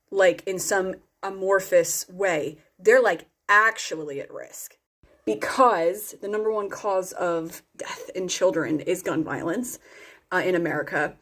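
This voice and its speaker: Woman explaining her views on camera, kinda low voice, lots of ums. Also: a bit bright. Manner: earnest and explanatory, frequent ums and restarts. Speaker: political commentator. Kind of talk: casual opinion vlog.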